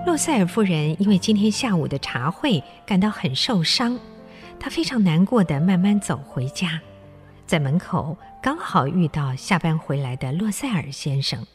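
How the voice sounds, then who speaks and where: A woman's voice: lowish voice, sing-song, moderate pace. A woman playing the narrator of a literary radio drama, telling a classic story in a scripted, dramatized style. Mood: measured, sympathetic. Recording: music behind the voice.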